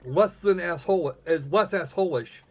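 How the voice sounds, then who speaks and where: A man with a high-pitched voice hosts a casual game stream. He rambles wryly through his commentary, swearing freely and reading bits of on-screen text. The recording is dull and a little muffled. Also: moderate pace, a little hiss or hum. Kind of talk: casual live commentary on a visual novel, reading text aloud.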